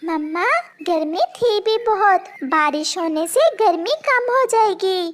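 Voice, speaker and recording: A woman's voice: very high-pitched, slow. A woman voicing an eager little boy chick in a children's cartoon, childlike in manner. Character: excited little boy chick talking to mother. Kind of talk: children's cartoon dubbing in Hindi.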